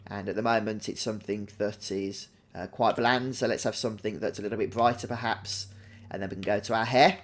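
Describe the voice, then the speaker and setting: A man giving chatty, unhurried Let's Play commentary, explaining his choices aloud. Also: lowish voice, fairly even intonation. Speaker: gaming video host.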